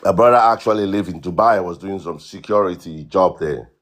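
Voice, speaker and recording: Low-voiced man from Cameroon, halting. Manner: halting, repeats words, many fillers. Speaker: guest caller. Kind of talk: casual account on a livestream.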